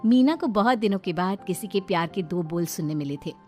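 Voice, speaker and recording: A woman narrating a moral story in an expressive, scripted storytelling style. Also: fairly low pitch, a little fast, soft background music.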